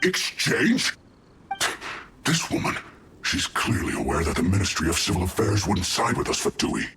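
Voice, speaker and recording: An actor playing a bullied, indignant soldier in a video game, pleading in an exasperated, theatrical way. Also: male voice, sits low, huge pitch swings, normal speed, gravelly, background noise, full character voice. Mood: resentful.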